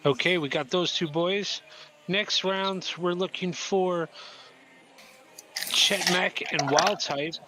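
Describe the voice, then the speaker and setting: High, bright-voiced male race host. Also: rough recording. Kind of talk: calling pilots for an online drone race.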